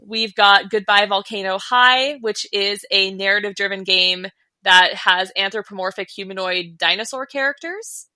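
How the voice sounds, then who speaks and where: Bright clear-cut female voice, articulate n keen gaming podcast co-host, dry sound. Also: medium pitch, normal speed, no echo. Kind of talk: casual gaming news commentary.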